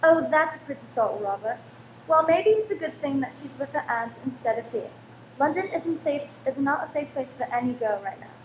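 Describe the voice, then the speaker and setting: A woman acting a fretful, careworn Victorian landlady and sharp-tongued wife in an old-time radio play. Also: high voice, lively intonation, a little fast. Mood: bitter, worried. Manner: anxious, complaining, dramatic. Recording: roomy stage recording, faint background noise.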